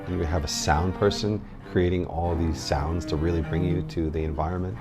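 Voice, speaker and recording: A man's voice: low voice, steady tone, fairly quick, over loud music. A man who does voice acting, speaking casually in a documentary interview. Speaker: voice actor.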